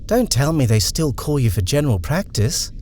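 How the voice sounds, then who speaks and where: A polite young woman in a storybook, pronouncing each word distinctly. Her voice sits high and bright, a man putting her on with a raised pitch. An edge of impatience stays close under her courtesy. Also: sing-song, moderate pace, a little hiss or hum, close to an everyday voice. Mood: polite, earnest. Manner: distinct, careful speech.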